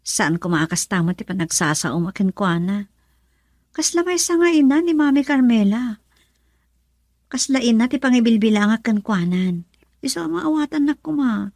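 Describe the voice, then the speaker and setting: A yearning troubled wife in an ilocano radio drama, highish pitch, ilocano accent, pleading and emotional, dry studio sound. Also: female voice, animated, moderate pace, darkish tone, dry recording. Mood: earnest, longing. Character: troubled, yearning young wife and nurse, tearful and guilt-ridden.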